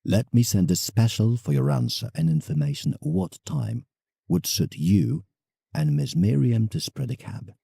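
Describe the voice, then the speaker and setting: A man's voice: fairly low pitch, big pitch swings, measured pace, gravelly, warm tone, breathy edge, no echo, exaggerated voice. An actor playing a proud, wounded, sentimental male painter, reading his letter in a theatrical, confiding way for an audio drama. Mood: courteous.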